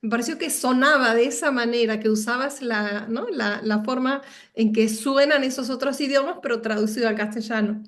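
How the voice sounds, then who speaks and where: A woman's voice: on the high side, moderate pace. A woman interviewing an author about her book, engaged and attentive as she asks about its language and sound. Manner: engaged, reads book titles aloud. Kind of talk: literary interview.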